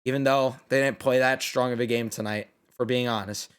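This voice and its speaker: A man's voice: mid-range voice, fairly even intonation, fairly quick, smooth. A tired young man giving a blunt, casual post-game reaction, swearing freely as he says he is losing his voice. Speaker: sports reaction host.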